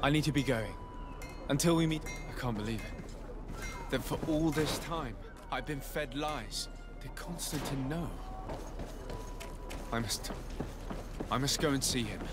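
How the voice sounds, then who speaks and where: A man's voice: mid-range voice, measured pace, pauses often, music in the background, lightly acted. A young man playing an earnest, loyal noble envoy in a video game, speaking formally. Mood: composed, then shaken. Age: young adult. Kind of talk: scripted video game acting.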